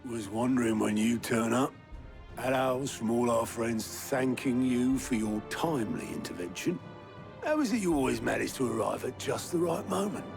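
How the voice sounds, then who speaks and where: Gravelly, wry, gruff ally. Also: male voice, mid-range voice, animated, moderate pace, darkish tone. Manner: colloquial, dry, matter-of-fact. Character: gruff, wry, plain-spoken male steward and old ally. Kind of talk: video game cutscene acting. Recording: game dialogue over music.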